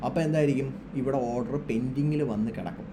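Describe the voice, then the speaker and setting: Fast-talking man with noisy background. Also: mid-range voice. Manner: instructional, mixes English trading terms into speech. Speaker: trading tutorial presenter, trader. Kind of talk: informal screen-share tutorial.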